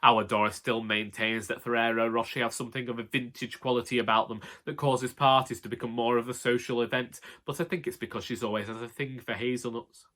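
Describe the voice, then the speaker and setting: A man's voice: medium pitch, steady tone, brisk, few pauses, a bit bright. A man with a Northern English accent narrating a comic first-person story in a wry, colloquial way. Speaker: audiobook narrator.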